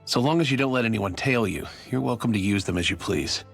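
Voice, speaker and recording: An actor playing a practical, guarded resistance member in a video game, terse and confident. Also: male voice, lowish voice, fast, vocal fry, slightly rough. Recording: video game music behind.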